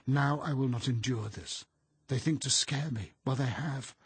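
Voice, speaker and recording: A man voicing a frightened, highly strung woman who steels herself against terror, intense and insistent, in a storybook reading. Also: steady tone, a little slow, vocal fry, a bit bright, breathy edge, no room sound, heavily acted. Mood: indignant, resolute.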